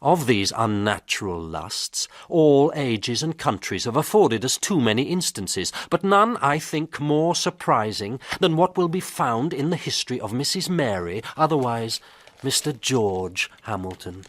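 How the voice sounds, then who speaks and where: Casting: pompous 1700s pamphleteer, theatrical moralising narration, animated mid pitch, dry close sound. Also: male voice, unhurried, dry recording. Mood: grave disapproval. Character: pompous 18th-century male author narrating a scandalous pamphlet. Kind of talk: period radio drama narration.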